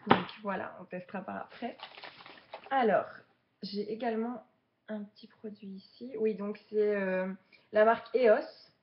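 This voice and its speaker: Woman in her twenties, mid-pitched voice, casual unboxing vlog, muffled lo-fi recording. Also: a little slow. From Belgium, Charleroi region. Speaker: beginner beauty vlogger. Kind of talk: casual unboxing chat to viewers.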